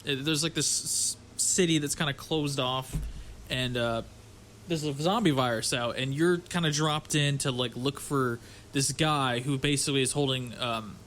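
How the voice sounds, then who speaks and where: A man's voice: medium pitch, a bit bright, a little hiss or hum. A man co-hosting a podcast, talking casually and slangily, with some swearing. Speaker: podcast co-host.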